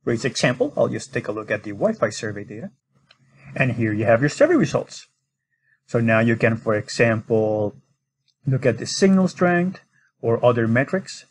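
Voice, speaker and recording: A man's voice: medium pitch, slight background noise. A man narrating an instructional screen recording as a product demonstrator, explaining step by step in an informal way.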